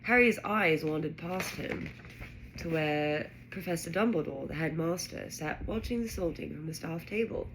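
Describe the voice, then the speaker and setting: A woman narrating a children's fantasy story aloud in an expressive reading style. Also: sits low, moderate pace, slight background noise, poor audio. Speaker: audiobook-style reader.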